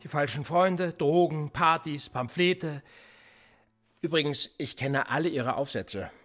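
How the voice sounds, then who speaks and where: An actor playing a police interrogator in a radio play, sardonic and menacing, needling with theatrical rhetorical questions. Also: male voice, on the high side, unhurried, a bit bright, faint music behind. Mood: scornful, accusing. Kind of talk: naturalistic German radio play acting.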